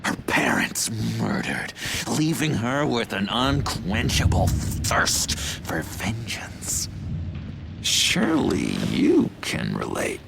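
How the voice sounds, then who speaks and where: A gleefully unhinged asylum inmate from a dramatic game, his pitch leaping wildly. He drawls slowly with a creaky edge, sliding from hushed secrets into manic shouts. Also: sing-song, noisy background, heavily acted. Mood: conspiratorial excitement. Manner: erratic, swinging from hushed confiding to shouted glee. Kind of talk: dramatic video game acting.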